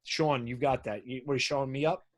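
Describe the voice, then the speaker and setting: A man's voice: mid-range voice, fairly even intonation, quick pace. A middle-aged man in casual conversation, talking in an informal, plain-spoken way. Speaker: firefighter on a radio show. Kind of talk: casual chat.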